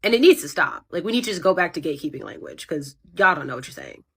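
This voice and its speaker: A woman's voice: sits low, very animated, fast, creaky, husky. A woman delivering an emphatic, exasperated commentary clip.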